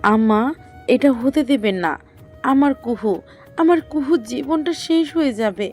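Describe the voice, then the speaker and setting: A desperate mother, acted by a woman, pleads tearfully in a fairly high voice in an audio drama. She implores like someone about to lose everything, over faint music. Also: measured pace, a little mellow. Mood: tearful pleading. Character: desperate, pleading middle-aged mother and daughter-in-law.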